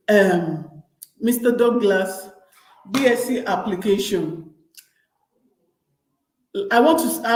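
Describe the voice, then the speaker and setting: A woman's voice: mid-range voice, darkish tone, echoey room, rough recording. A young Nigerian woman with a Nigerian accent hosting a casual advice vlog, chatty and talking straight to her viewers, often repeating and restarting her words. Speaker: vlogger.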